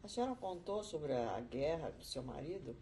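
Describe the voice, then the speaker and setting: Distant interviewer with lively intonation. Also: mid-range voice, normal speed, crowd noise. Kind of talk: oral history interview questions.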